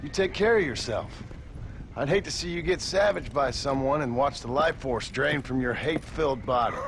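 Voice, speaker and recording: An actor voices a laconic gunslinger in a western video game. His mid-pitched voice stays dry and sarcastic, and clear outdoor ambience sits behind him. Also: moderate pace, noisy background, close to an everyday voice. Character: laconic male frontier gunslinger, dryly sarcastic.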